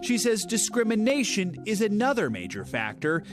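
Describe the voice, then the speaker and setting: High-voiced male news correspondent. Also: wildly swooping pitch, music in the background. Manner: measured, serious. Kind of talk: scripted news narration.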